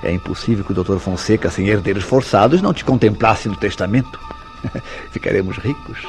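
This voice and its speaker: An actor with a Brazilian accent playing a guilt-ridden killer and bitter lover in a melodramatic radio drama, with theatrical, formal diction. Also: male voice, lowish voice, brisk, faint music behind, lightly acted. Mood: bitter sarcasm. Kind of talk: old-fashioned melodramatic radio drama acting.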